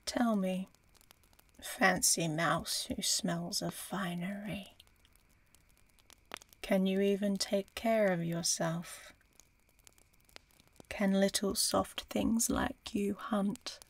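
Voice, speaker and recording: Casting: woman to play an ancient female dragon for fantasy audio roleplay; unhurried, gravelly half-whisper, aloof yet teasing and curious. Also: medium pitch, fairly even intonation, full character voice. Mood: intrigued, pondering. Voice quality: breathy, often whispering. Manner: slow, measured, musing.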